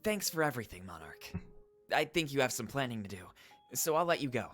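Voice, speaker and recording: A man voicing a kind, earnest furry friend in a visual novel, gentle and sincere. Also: medium pitch, big pitch swings, a little fast, faint music behind. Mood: grateful.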